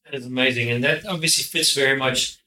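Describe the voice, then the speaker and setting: A man's voice: medium pitch, quick pace, bright, forceful tone. A South African man whose first language is Afrikaans, interviewing a guest with admiring, long, winding questions. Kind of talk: interview conversation. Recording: echoey room with faint noise.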